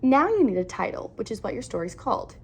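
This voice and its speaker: A woman reading a children's picture book aloud in an animated, expressive storytelling manner with emphatic phrasing. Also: fairly high pitch, quick pace, slightly rough.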